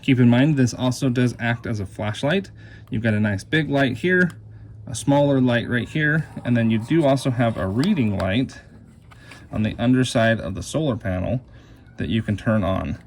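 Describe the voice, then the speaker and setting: A man's voice: medium pitch, normal speed. A man giving a casual, plain and explanatory hands-on product demonstration. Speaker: product reviewer. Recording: clear background noise.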